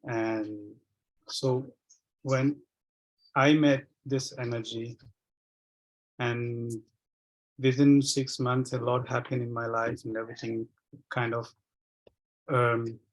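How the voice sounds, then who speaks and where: A man from Sri Lanka whose first language is Tamil, sharing his experience of energy work in a halting, reflective way with many restarts. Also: medium pitch, flat delivery, rough recording.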